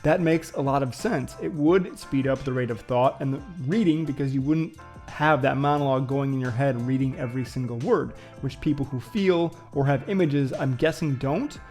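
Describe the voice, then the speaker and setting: A man's voice: mid-range voice, moderate pace, a little mellow, faint music behind. A man hosting a casual vlog, musing and thinking aloud to viewers with frequent fillers and hesitations. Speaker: vlogger host. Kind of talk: casual reflective vlog talk.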